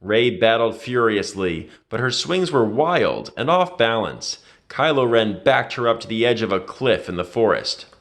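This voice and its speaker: Man dramatically reading an adventure story, lively pitch. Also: unhurried, hardly any pauses. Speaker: storybook reader. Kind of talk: expressive read-aloud narration of a children's adventure story.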